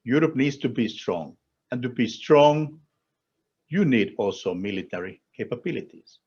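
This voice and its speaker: A man from Finland with a Finnish accent delivers a formal policy speech as an official, in deliberate, emphatic short phrases. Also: mid-range voice, animated, darkish tone, breathy edge, muffled recording. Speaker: European Commission official speaking at a conference.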